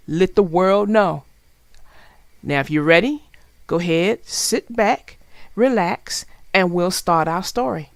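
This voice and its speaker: A woman narrating a children's story as a scripted read-aloud, in a deliberate, expressive way. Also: medium pitch, no room sound.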